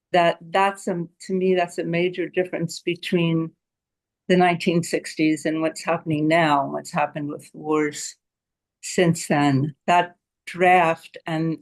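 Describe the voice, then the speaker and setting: Flat-toned American woman in her seventies. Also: fairly low pitch. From United States. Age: 70s. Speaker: audience member. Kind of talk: comment from the floor.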